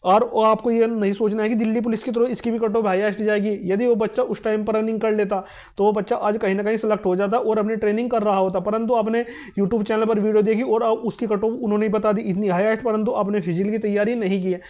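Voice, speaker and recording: High, rapid, flat-toned young man. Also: dull audio. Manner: repetitive, emphatic, advising students directly. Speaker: exam coaching YouTuber. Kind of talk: fast explainer talk to the camera.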